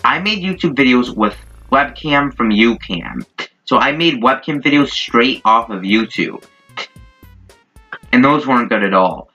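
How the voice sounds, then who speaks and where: A man's voice: mid-range voice, lively intonation, measured pace, pushed and bright. A young man telling a casual, rambling, informal story as a YouTuber. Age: young adult. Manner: rambling, informal, mildly profane. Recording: dull lo-fi mic. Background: background music.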